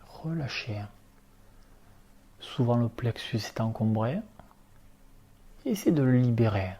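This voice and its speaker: A man leading a guided relaxation meditation as an instructor, speaking calmly in short, unhurried instructions. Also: mid-range voice. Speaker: yoga nidra relaxation instructor.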